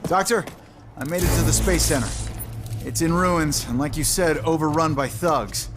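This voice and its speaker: A man's voice: on the high side, normal speed, music in the background, natural voice. A man playing a confident, plucky young ranger hero in a video game, speaking in a casual, cocky, plain-spoken way. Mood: casual, wry. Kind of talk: video game cutscene acting.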